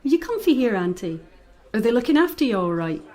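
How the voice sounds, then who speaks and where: A caring young niece in a naturalistic radio play. Her voice sits a little high, with a tender, earnest manner. She sounds guilt-ridden and gentle. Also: very animated, fast. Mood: concerned. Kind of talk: naturalistic audio drama acting.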